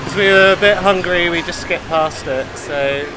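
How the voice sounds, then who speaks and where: A man's voice: sits high, moderate pace, noisy background. A man in his thirties chatting casually and enthusiastically to a handheld camera as a travel vlogger out on busy night streets. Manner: enthusiastic, informal. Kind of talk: casual vlog chat to camera. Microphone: camera held at arm's length.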